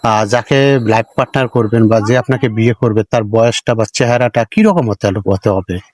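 A man's voice: medium pitch, normal speed, darkish tone. A man hosting an interview, politely asking questions and checking answers back. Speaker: interviewer and channel host. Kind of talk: on-camera interview for an online channel.

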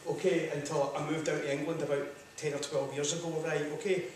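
A man's voice: fairly high pitch, level tone, fast, a little hiss or hum. A man with a Scottish accent giving a public lecture, chatty and jokey, often saying "right, okay.". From Scotland. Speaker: guest lecturer. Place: large lecture hall, standing at podium. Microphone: far camera. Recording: echoey hall from far off.

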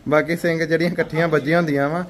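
A man with a Punjabi accent, walking through a dairy shed, asking a farmer about prices and pitching the buffaloes to viewers in a casual sales chat. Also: flat delivery, a little hiss or hum. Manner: brisk, asks prices then pitches to viewers. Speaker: livestock dealer showing buffaloes for sale. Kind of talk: casual on-site sales walkthrough.

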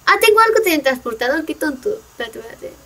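A woman's voice: sits high, sing-song, fast. Brief: young Peruvian woman gamer, playful and laughing, noisy far-off mic. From Peru. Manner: playful, teasing, laughs a lot. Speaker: gaming channel host. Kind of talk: casual gameplay chat.

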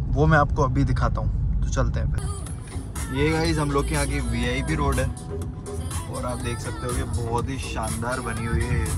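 A young man from India, chatting upbeat in a road trip vlog and leaning on fillers like "matlab" and "yaar". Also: mid-range voice. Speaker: vlogger. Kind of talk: casual travel vlog chat. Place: inside a car on the highway and at an outdoor roadside eatery. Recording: road noise and outdoor hum behind.

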